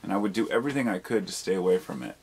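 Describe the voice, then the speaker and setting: A man's voice: low voice, expressive, rapid-fire, a little mellow, breathy edge, poor audio. A man talking in a casual, rambling monologue, candid and casually profane, reflective and questioning himself as he goes. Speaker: video blogger.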